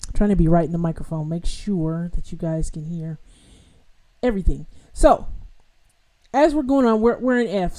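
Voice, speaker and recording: A woman's voice: on the low side, warm tone. A woman hosting a live-streamed piano lesson, chatting casually and directly with viewers, with loose fillers and restarts. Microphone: home streaming mic with adjustable level.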